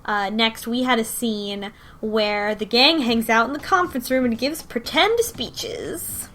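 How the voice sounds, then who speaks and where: A woman's voice: a little high, unhurried, fairly bright tone. A woman co-hosting a casual podcast, recapping a story in a rambling way with many ums and restarts. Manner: rambling recap with frequent ums and self-corrections. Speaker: podcast co-host. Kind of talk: casual chat recapping a visual novel.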